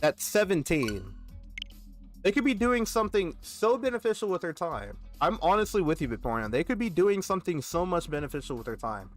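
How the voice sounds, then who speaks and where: A man, chatting casually as a gaming host and telling an animated, complaining story. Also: high-pitched, fairly quick, soft background music. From Texas. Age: 20s. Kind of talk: casual rambling chat while gaming.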